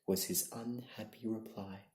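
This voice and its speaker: A young adult reading bedtime stories in a soft-spoken, partly whispered ASMR style, with a gentle, deliberate and hushed delivery. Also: steady tone. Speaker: ASMR storyteller. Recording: low-quality, echoey room recording.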